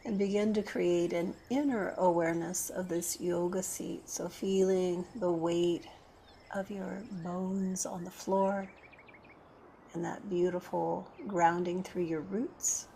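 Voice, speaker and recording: A woman leading a guided meditation as a yoga teacher, speaking gently and unhurriedly with pauses between phrases. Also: lowish voice, steady tone, gravelly, slight background noise.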